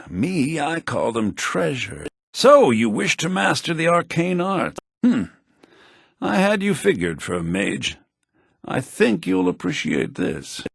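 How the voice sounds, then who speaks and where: A man playing a sly, grasping shopkeeper in a fantasy video game, with a raspy, theatrical delivery, in low-bitrate compressed audio. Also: a bit bright, dry recording, studio quality, heavily acted. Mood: sly, wheedling. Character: sly fantasy male shopkeeper, grasping, quick to yell at thieves. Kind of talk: video game character barks.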